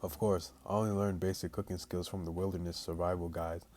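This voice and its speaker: A young man hosting a gaming video, reading visual novel lines aloud in a casual, halting way. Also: sits low, level tone, a little mellow, soft background music. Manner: halting, stumbling reader.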